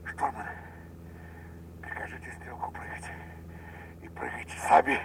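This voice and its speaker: An actor playing a wounded, blinded bomber commander in a war radio drama, giving halting, strained orders while fighting pain. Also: male voice, medium pitch, very animated, fairly bright tone. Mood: weak, pained. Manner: strained, halting commands, swinging from cries to whispers. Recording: noisy radio play with engine hum.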